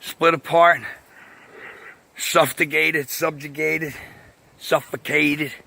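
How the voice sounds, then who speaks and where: A man with a raspy voice talks casually to camera outdoors, rambling, swearing, and laughing often as he goes. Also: fairly high pitch, level tone, slow pace, sharp tone. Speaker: vlogger. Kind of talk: casual outdoor video monologue. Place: outdoors by a path.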